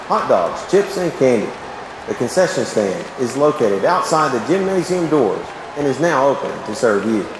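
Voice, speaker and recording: A man's voice: medium pitch, noisy background. Man announcing in an echoey gym. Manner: measured, clear announcer delivery. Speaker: public address announcer instructor. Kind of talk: instructional talk with sample PA announcements. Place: empty school gymnasium and green-screen crowd set. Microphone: handheld microphone.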